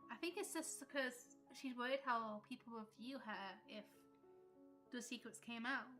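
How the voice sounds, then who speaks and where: A woman's voice: on the high side, moderate pace. A woman streaming a video game live, reading dialogue aloud and reacting to it casually. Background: faint game music.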